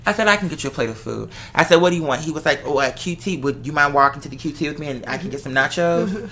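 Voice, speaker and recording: A man's voice: a little high, fast, a bit bright, slight background noise. A man in his twenties with an American accent, chatting casually and emphatically with strong opinions. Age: 20s. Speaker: host and performer. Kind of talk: casual animated chat.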